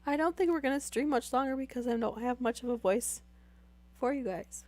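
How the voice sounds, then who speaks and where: A woman's voice: high-pitched, brisk, a little mellow. A young woman chatting casually with live viewers while losing her voice to a sore throat, rambling with many fillers. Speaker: streamer.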